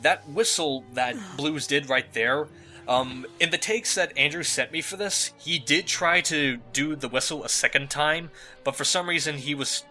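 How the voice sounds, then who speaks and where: Very bright-voiced man commentating over music. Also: fairly high pitch. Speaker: host, fan dub producer and sound mixer. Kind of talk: casual audio commentary over a fan dub. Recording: music behind the voice. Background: dubbed video soundtrack with music.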